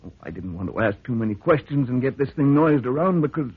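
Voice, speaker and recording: An actor playing an anxious, doubtful husband in a vintage radio drama, confiding in an earnest, hesitant way. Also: male voice, medium pitch, animated, fast, slightly rough. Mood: troubled, hesitant. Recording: dry, tinny old radio recording.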